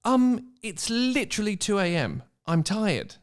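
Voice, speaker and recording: A man bantering casually and reading a story aloud as a podcast guest, teasing in a deadpan, ironic way. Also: sits high, a little slow. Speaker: guest reader on a comedy podcast.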